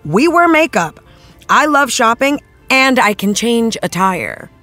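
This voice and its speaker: An earnest young-spirited TV actress in an interview, thoughtful and searching for words. She takes her time, with very animated intonation. Faint music plays behind her. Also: a little high, takes it slowly, heavily acted. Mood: sincere, emphatic. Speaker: interviewed actress, after-show guest. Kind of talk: conversational interview.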